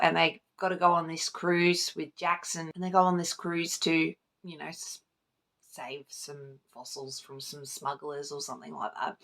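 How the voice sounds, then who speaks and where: Creaky-voiced woman chatting casually about books. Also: fairly low pitch, a bit bright. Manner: informal, rambling, self-interrupting, lightly sweary. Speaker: book vlogger.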